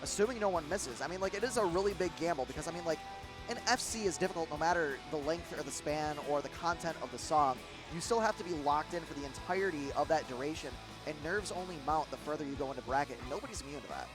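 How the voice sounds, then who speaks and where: Midwestern guy commentating a guitar game bracket, kinda high voice, loud guitar music under it. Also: expressive, fast. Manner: rambling, with fillers and restarts. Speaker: tournament commentator. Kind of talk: live esports play-by-play commentary.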